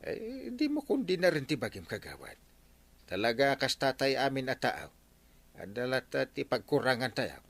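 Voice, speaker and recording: A man acting in a Filipino radio comedy as an excitable, argumentative, blustery village captain with an Ilocano accent, hemming and chuckling as he talks. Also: mid-range voice, normal speed. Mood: animated, nosy. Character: Enteng, blustery comic village captain fond of drink.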